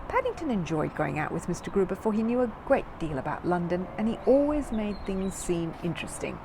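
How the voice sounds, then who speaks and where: A woman narrating a children's storybook in an expressive, scripted storytelling style. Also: mid-range voice, a little fast, noisy background. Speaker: storyteller reading a children's book aloud.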